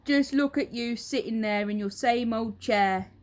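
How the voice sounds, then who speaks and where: A woman's voice: a little high, darkish tone, natural voice. A woman voicing a sly, cruel old husband from a children's storybook, mocking and drawing out words with emphasis. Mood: gleeful, taunting. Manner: emphatic, drawn-out, taunting delivery.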